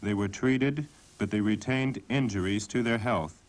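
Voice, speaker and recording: A man with a fairly low voice delivers scripted documentary narration. His reading is measured and sober, in the role of narrator. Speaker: documentary narrator and voice-over translator.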